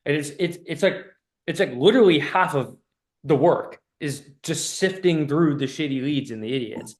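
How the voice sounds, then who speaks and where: Blunt, higher-voiced man coaching casually. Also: moderate pace. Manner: blunt, profane; emphatic, repetitive stop-start delivery. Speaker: business coach.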